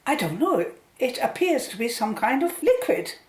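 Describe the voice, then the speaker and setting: A woman acting an excited, upbeat polar scientist in a radio play. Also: sits high, moderate pace, echoey room, slight background noise. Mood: amazed, curious.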